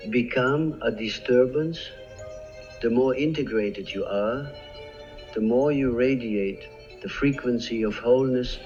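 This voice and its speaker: A man narrates in a slow, grave, deliberate cadence, letting long pauses hang between phrases. His voice sits in a middle register and sounds a little dull and lo-fi. Soft music runs quietly underneath him. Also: mid-range voice. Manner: slow, solemn, measured pauses. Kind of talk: scripted narration. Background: faint background music.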